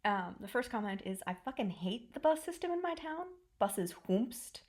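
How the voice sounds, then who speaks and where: A woman's voice: a little high, very animated, moderate pace, darkish tone. A woman in casual, joking chat as a co-host, with an irreverent manner. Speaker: podfic co-creator and host.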